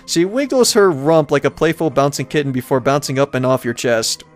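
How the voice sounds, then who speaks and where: A man's voice: mid-range voice, big pitch swings, brisk, faint music behind. A man hosting a let's play, reading a visual novel aloud and reacting casually as he goes.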